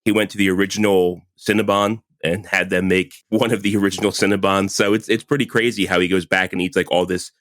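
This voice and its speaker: A man's voice: low-pitched, flat delivery, quick pace, few pauses, a bit bright, dry recording. A man in his forties co-hosting a podcast, chatting casually and laughing often, with lots of "like" fillers. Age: 40s. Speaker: podcast co-host. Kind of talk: casual chat.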